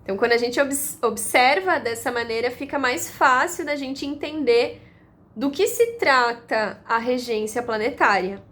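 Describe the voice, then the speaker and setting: A woman giving a calm, explanatory lesson, repeating her points and using analogies. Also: high-pitched, measured pace. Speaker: astrology teacher.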